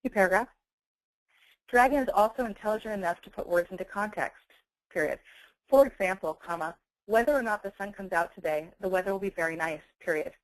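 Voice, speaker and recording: A woman giving a webinar software demonstration, enunciating clearly as a presenter. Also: medium pitch, a little fast, dry recording, low-quality recording. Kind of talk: webinar product demonstration with dictation. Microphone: close handheld speech mic.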